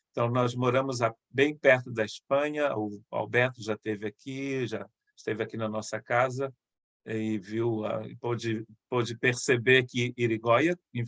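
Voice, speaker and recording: A middle-aged man from Rio de state, a missionary pastor speaking to a church in a conversational, halting way with restarts. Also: mid-range voice, a bit bright, no room sound. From Brazil, Rio de Janeiro state.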